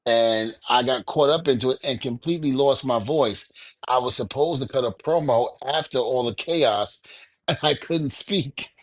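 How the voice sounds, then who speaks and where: A man's voice: medium pitch, moderate pace, no room sound. Laughing storyteller man, dry mic. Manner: storytelling, animated, laughs often. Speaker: podcast co-host. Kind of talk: casual chat.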